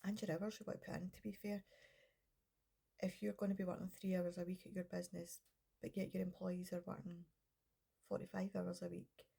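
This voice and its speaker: Woman, middle-aged, bunged up with a cold, low gravelly husky voice, rambling casual coaching chat. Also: dark tone. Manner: rambling, chatty, self-interrupting. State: congested with a cold. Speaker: business coach and counsellor. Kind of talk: casual vlog talk to camera.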